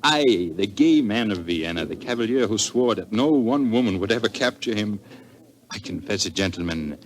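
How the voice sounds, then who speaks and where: An actor playing a suave, flowery Viennese count and police official in a formal, theatrical 1940s radio style. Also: male voice, on the low side, expressive, moderate pace, natural voice. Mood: rapturous. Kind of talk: 1940s radio drama acting. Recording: noisy vintage 1940s radio recording.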